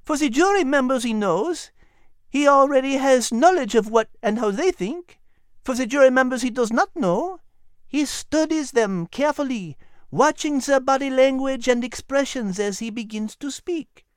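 A narrator voicing a knowing female animal, explaining in an animated way. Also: high voice, normal speed, clean tone, no echo, clean studio sound, voice pushed up.

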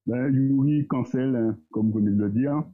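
A man, talking as a guest in a casual discussion, thoughtful and hesitant, pausing often. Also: medium pitch, soft background music. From Guadeloupe. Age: 30s. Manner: thoughtful, hesitant, with many pauses and fillers. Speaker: guest, entrepreneur. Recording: tinny phone-like line.

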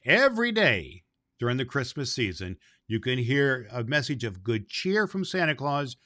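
A man's voice: mid-range voice, sing-song, moderate pace, bright, forceful tone, no echo, clean studio sound. A man presenting as Santa Claus, speaking affectionately and in an avuncular way to young listeners. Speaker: host of a children's Christmas podcast, presenting as Santa Claus. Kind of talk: storybook reading and friendly address to children.

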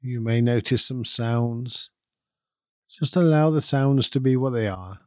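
A man guides a meditation with a mellow, relaxed voice at a medium pitch. He speaks calmly and soothingly, giving gentle instructions without hurry and leaving long pauses. The sound is dry and close, with no room around it. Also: no room sound. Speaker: meditation guide and podcast host.

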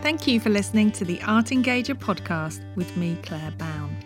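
A woman's voice: mid-range voice, expressive, normal speed, over music. A woman hosting a podcast, addressing her guest and listeners in a presenting style. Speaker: podcast host. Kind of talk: podcast hosting, interview and scripted outro.

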